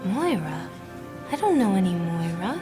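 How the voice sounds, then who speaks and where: A woman's voice: fairly low pitch, sing-song, unhurried, over music. An actress playing a fragile young woman in a dazed, childlike breakdown. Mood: childlike, distressed.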